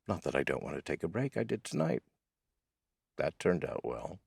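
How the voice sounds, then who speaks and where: A man with a husky voice and a wry, deliberate way of phrasing, hosting and reading poetry. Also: a bass voice, sing-song, a little mellow, no echo, studio quality. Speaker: host of a poetry reading series, voice actor. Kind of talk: informal spoken intro and poetry reading.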